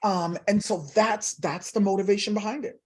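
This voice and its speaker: A woman speaking as an academic author in an interview, explaining thoughtfully and haltingly with repeats. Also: medium pitch, steady tone, a little mellow. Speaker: professor and author guest.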